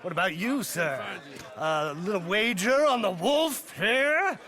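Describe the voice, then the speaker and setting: A man's voice: high-pitched, expressive, takes it slowly, bright, forceful tone, heavily acted. A man playing a slick, mocking bookmaker in a video game cutscene, showy and sly with a drawl. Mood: sly, amused. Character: slick, mocking male bookmaker, jovial hustler.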